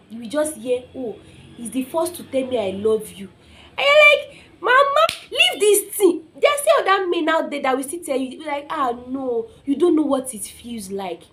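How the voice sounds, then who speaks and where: A woman's voice: high-pitched, fairly bright tone. A young Nigerian woman speaking as an informal motivational speaker, telling personal stories emphatically, acting out quotes and often checking in with listeners.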